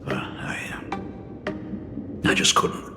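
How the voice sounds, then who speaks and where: A middle-aged actor playing a polite, hesitant male school dean and father in a video game. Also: very slow. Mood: measured. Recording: reverberant game audio with music.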